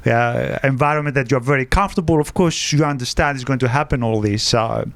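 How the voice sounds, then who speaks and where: A man's voice: mid-range voice, vocal fry, dry recording. Hesitant man in his fifties, non-native. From Egypt. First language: Greek. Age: 50s. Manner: halting, frequent "uh" fillers, non-native grammar. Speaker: guest, wealth adviser. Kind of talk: podcast interview.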